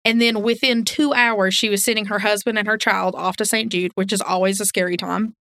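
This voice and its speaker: A woman's voice: medium pitch, fairly even intonation, fairly quick, a bit bright, no echo. A woman co-hosting a podcast in an informal, candid, chatty way. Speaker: podcast co-host. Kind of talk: casual true crime podcast chat.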